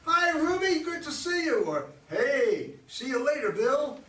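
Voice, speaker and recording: A man's voice: very high-pitched, very animated, measured pace, bright, forceful tone, exaggerated voice. A man in a staged play reading, acting a pushy, teasing, talkative flirt who needles a stranger. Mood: needling. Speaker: amateur play reader. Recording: distant roomy recording with faint noise.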